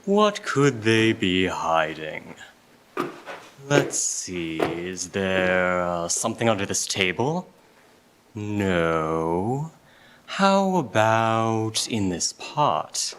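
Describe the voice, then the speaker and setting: A man playing a gentle, doting, poor Victorian father in a live radio play, with a tender, halting delivery. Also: sits low, sing-song, full character voice. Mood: teasing, delighted. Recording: noisy live stage recording with clicks.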